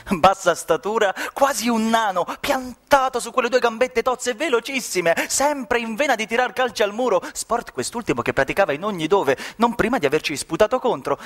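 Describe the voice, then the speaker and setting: A man's voice: high voice, fairly bright tone. A man narrating a story as a stage actor in a radio play, with a dramatic, ironic delivery that savours each description.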